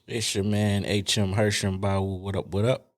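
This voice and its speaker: A man's voice: fairly low pitch, level tone, moderate pace. A man co-hosting a casual podcast, easygoing and chuckling along with the others. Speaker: podcast co-host.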